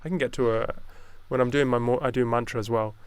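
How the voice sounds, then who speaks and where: A man interviewing in a casual conversation, deep-voiced, soft-spoken and hesitant, often trailing off mid-thought. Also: steady tone, quick pace.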